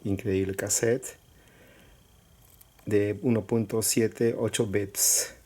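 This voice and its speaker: A man casually presenting items to viewers in short, halting phrases with pauses. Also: fairly low pitch, flat delivery, mellow. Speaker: collector showing cassettes for sale. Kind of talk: casual product showcase. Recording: clear background noise with clicks.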